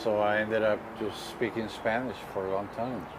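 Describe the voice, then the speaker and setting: A man's voice: fairly low pitch, fairly even intonation, normal speed. A middle-aged man with a Colombian accent, a guest in an interview, easygoing and laughing at himself. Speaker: guest guitarist. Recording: noisy background with passing vehicles.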